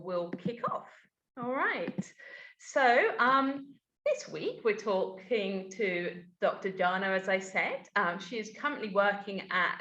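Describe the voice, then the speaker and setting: Woman, webinar host, bright voice, roomy dull video call sound. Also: mid-range voice. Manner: relaxed, chatty with frequent ums. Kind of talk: online webinar hosting.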